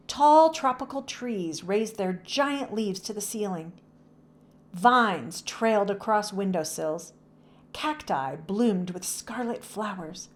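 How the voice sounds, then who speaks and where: Animated woman reading a children's story. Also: medium pitch. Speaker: children's book read-aloud narrator. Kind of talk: expressive scripted children's story narration.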